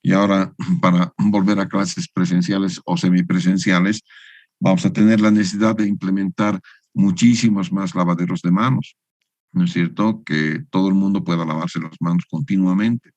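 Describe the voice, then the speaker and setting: A man teaching an engineering class over a video call, with a lowish voice. He lectures in a didactic way and keeps asking his students for agreement. The sound is dry and close, with no room around it. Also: steady tone, normal speed, darkish tone, no echo. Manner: didactic, keeps adding the tag question "no es cierto". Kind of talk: online university lecture.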